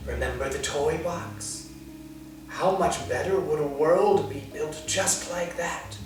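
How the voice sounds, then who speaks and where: A creepy storybook figure with a dry, scratchy, rustling voice like dead leaves, as a man reads him. He speaks slowly and coaxingly, pitched up, and sounds far off in an echoing room with music underneath. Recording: roomy, distant, with music.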